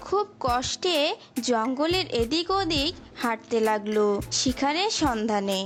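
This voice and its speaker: A woman's voice: sits high, animated, a little slow. A woman narrating a scripted story for children as a storyteller. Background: prominent music.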